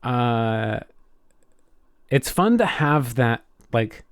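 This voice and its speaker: A man co-hosting a podcast, telling a personal anecdote in casual, halting speech with repeated words and an enthusiastic manner. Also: medium pitch, lively intonation, clean tone, dry recording, studio quality. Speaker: podcast co-host.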